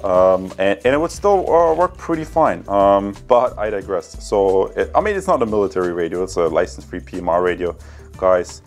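A man in his thirties with a German accent talks casually to viewers as a product reviewer. His voice sits fairly low and his delivery is halting and conversational. Music plays behind him. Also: very animated. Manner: hesitant, halting, addresses viewers as guys. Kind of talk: casual unboxing and review talk to camera.

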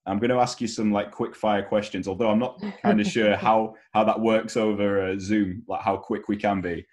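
A young man with a fairly low voice hosts a casual interview. He speaks informally and a little haltingly, on a headset over a video call. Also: flat delivery, a little mellow. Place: home room, video call.

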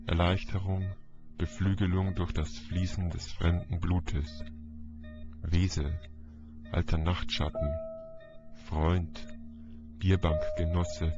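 Exultant killer acted by a male narrator, declamatory and gloating, dull recording with music behind. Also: sits low. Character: exultant, raving male murderer gloating over his victim.

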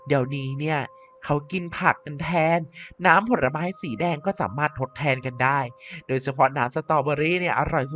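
A woman's voice: low-pitched, a little hiss or hum. A woman voicing a vain, mischievous female vampire queen in a Minecraft cartoon, talking in a theatrical, self-important way over faint music. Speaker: narrating YouTuber doing all voices. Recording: slightly dull with faint music.

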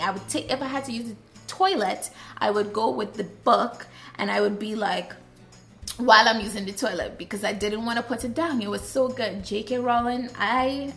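A woman's voice: medium pitch, gravelly. A young woman from Trinidad with a Trinidadian accent, talking casually and expressively to the camera in a vlog. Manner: animated, expressive, gestures while talking. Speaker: vlogger.

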